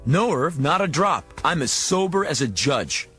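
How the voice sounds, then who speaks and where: A man's voice: fairly high pitch, sing-song, bright, forceful tone, slightly rough, faint music behind, full character voice. A man playing a slick but rattled American used-car salesman boss in a radio drama, slangy and exclamatory. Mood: agitated. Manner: slangy, fast-talking, exclamatory.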